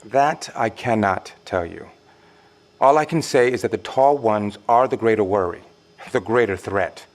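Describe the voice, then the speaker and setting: Casting: cryptic insider in audio drama, low gravelly voice, formal, measured, drily condescending. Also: male voice. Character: secretive, composed male insider warning survivors of monsters.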